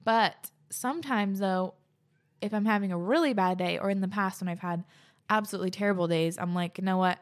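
A woman's voice: medium pitch, a little fast. Bubbly young woman podcast host. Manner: bubbly, gushing, says "like" a lot. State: out of breath. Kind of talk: casual podcast chat, reading listener submissions.

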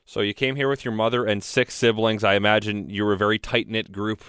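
A man interviewing a guest, asking calm questions with brief acknowledgments. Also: lowish voice, a little fast, smooth, no room sound, studio quality.

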